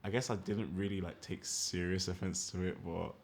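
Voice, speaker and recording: A young man speaking in a halting, casual way in an interview, often pausing with "like" and "um". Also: low voice, steady tone. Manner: halting, casual, filler-heavy. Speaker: documentary interviewee. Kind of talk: candid interview.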